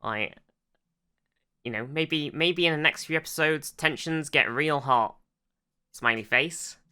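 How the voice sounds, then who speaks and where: A podcast co-host in casual discussion, explaining things haltingly, circling back and swearing freely. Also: mid-range voice, pushed and bright, clean tone.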